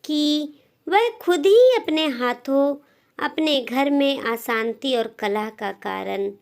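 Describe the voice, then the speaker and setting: A woman narrating a scripted moral story as a storyteller, in deliberate phrases with frequent pauses. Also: sits high, expressive.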